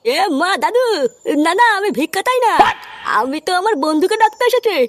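A woman's voice: extremely high pitch, sing-song, brisk, a little hiss or hum. A woman voicing a cheeky, naive little boy in a comic cartoon dub, with a childish, whiny manner. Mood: puzzled.

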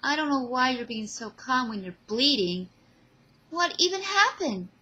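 A woman's voice: high-pitched, lively intonation, unhurried, pushed and bright, husky, voice pushed up, exaggerated voice. A woman voicing a caring, flustered teenage girl who exclaims, fusses and teases in an amateur audio drama. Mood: worried. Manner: exclaiming, fussing, teasing.

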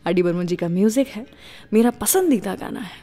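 A woman's voice: mid-range voice, lively intonation, fairly quick, warm tone. A woman with an Indian accent hosting a radio show, conversational yet scripted, mixing in English phrases and teasing listeners with questions. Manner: mixes English phrases into speech, teases with questions. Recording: long reverb on voice.

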